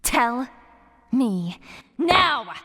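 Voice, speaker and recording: Gleefully sadistic, high-pitched metalhead tormentor girl. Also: big pitch swings, very slow, sharp tone, slightly rough, heavily acted. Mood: angry, demanding. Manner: taunting, mock-sweet, foul-mouthed. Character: gleefully sadistic young heavy-metal woman tormenting a captive. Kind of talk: horror audio drama acting.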